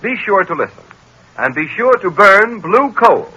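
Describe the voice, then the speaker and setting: Forceful, bright radio announcer. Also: male voice, high-pitched, big pitch swings, a little slow, slightly rough, poor audio. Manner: formal, emphatic. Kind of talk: scripted 1930s radio announcing.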